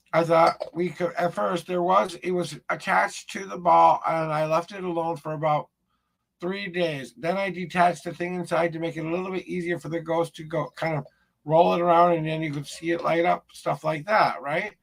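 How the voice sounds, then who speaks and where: A man with a gravelly voice, hosting his own paranormal show, talking casually to camera in a rambling, plainspoken way. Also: fairly high pitch, fairly even intonation, normal speed, fairly bright tone. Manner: rambling, plainspoken, restarts sentences. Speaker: paranormal investigator host.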